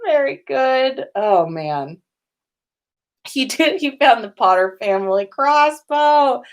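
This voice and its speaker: A woman hosting a casual story reading, expressive and given to drawn-out emphasis as she narrates and comments. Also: high-pitched. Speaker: podcast host reading listener-written fan fiction aloud. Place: own room at home.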